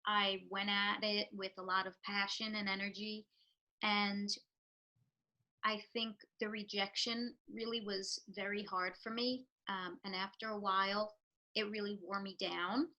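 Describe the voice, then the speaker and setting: Bright, earnest New York woman. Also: mid-range voice, fairly even intonation, unhurried, muffled recording. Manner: reflective, earnest, with fillers. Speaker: real estate professional. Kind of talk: interview answers.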